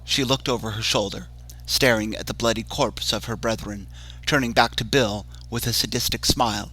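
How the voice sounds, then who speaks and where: A man narrating a horror story in a measured, ominous way, over background music. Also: lowish voice, fairly even intonation, pushed and bright, low-quality recording. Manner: slow, measured, ominous delivery. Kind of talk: dramatic horror story reading. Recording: music bed under voice.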